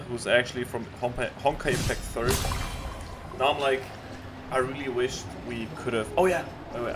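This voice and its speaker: A man streaming his reaction to a game, chatting casually and hesitantly as he thinks aloud. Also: medium pitch. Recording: loud noise behind the voice.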